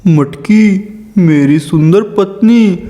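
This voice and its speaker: A high but soft-edged cartoon crow husband played by a man. He is hot-tempered and clingy, dramatic in a slow delivery that can be bossy or pleading.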